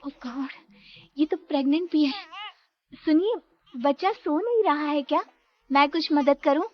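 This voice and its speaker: A woman's voice: sits high, a little slow, dull audio, pitched above the performer's own voice. A woman voicing a frightened, anxious young pregnant daughter-in-law who pleads and stammers in panic. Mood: concerned.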